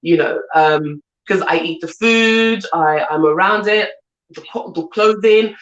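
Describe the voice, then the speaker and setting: A woman's voice: lowish voice, a little slow, echoey room, lo-fi sound. A woman in her thirties with a London accent, a guest talking earnestly in a casual chat and often asking "do you see what I'm saying". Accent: London English. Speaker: guest, coach and entrepreneur.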